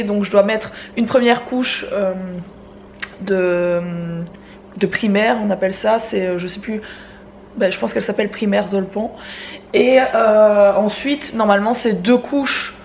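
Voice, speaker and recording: A woman's voice: medium pitch, slight background noise. Young woman with a stuffy head cold. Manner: chatty, with many fillers. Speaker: family vlogger. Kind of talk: casual vlog talk to camera. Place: at home, indoors.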